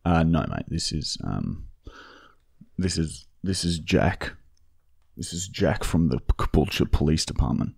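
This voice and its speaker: A man's voice: very deep voice, big pitch swings, measured pace, vocal fry, darkish tone, slightly rough, voice pushed down, exaggerated voice. An Australian man playing an aggressive, foul-mouthed police constable who bullies a suspect in a menacing, insistent way. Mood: officious. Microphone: close studio boom mic with headphones.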